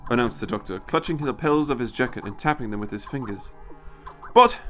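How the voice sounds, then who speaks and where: A man's voice: mid-range voice, fast talker, a bit bright. Guy reading out a story, scripted narration, bit of music behind.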